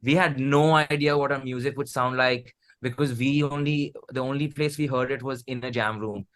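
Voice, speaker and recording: A man's voice: medium pitch, steady tone, moderate pace, fairly bright tone. A man in his thirties with an Indian accent, chatting casually as a musician being interviewed, circling back and restarting his phrases as he tells anecdotes. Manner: rambling, repeats and restarts phrases.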